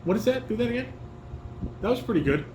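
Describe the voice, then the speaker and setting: Dad-type man running a home table read. Animated pitch, halting reading, frequent self-corrections, roomy sound with some noise. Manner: halting reader, corrects and repeats himself. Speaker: father directing a family table read. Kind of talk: reading stage directions and coaching. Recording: roomy, noisy home recording.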